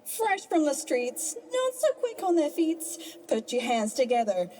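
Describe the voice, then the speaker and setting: A woman's voice: high voice, big pitch swings, measured pace, warm tone, a little hiss or hum, poor audio. A woman playing a gloating, theatrical rabbit trickster villainess in an audio drama, mocking and hammy like a stage host. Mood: showboating, gleeful. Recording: music under the voice.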